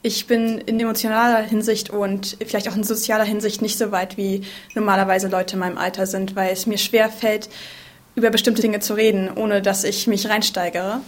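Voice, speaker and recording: Young woman, 20, interviewee, deliberate and thoughtful delivery. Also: mid-range voice, little pitch movement. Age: 20s.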